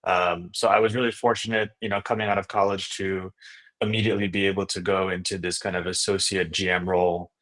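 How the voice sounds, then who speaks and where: A man's voice: sits low, little pitch movement, a little fast, fairly bright tone. A man from Indonesia, a native Indonesian speaker, telling his story enthusiastically as a guest in a casual interview, often checking in with "you know" and "right?". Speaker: podcast guest, startup founder and investor.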